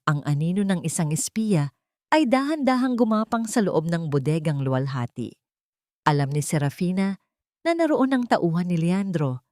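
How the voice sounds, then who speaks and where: A woman narrator with a slightly low voice delivers a scripted love drama. Her reading is deliberate and melodramatic, recorded close and dry with no room sound. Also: a little mellow, no room sound. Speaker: storyteller, narrator.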